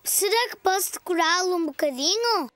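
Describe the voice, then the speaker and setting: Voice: extremely high pitch, lively intonation, moderate pace, fairly bright tone, dry recording. An actor voicing an eager, curious little four-year-old boy in a children's cartoon, with a European Portuguese accent. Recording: music behind the voice.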